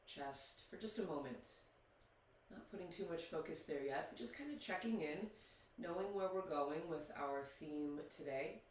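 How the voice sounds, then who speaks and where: A man leading a guided yoga meditation, speaking slowly and soothingly with long pauses. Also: a little high, a little hiss or hum. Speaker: yoga teacher. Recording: very echoey, distant mic.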